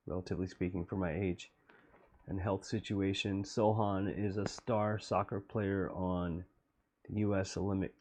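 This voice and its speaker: A middle-aged man talking through his own music video, slow and wistful. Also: a little mellow. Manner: low-voiced, slow and wistful. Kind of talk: reflective commentary.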